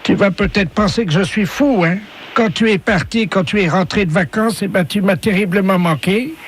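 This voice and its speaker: Man doing a high-voiced lovesick dolphin. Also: natural voice. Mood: timid, curious. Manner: slow, plaintive, hesitant declarations. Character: lovesick male dolphin, plaintive, earnest and formal. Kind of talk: prank phone call character voice. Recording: phone prank recording with faint noise.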